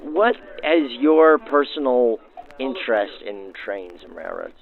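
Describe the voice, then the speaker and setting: A man's voice: mid-range voice, expressive, slow. A man hosting with formal, florid announcer phrasing in the style of 1940s radio, through a vintage radio filter. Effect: backdated 1940s radio filter. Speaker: host, interviewer. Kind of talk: scripted 1940s radio-style narration and interviews. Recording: thin, tinny vintage radio sound.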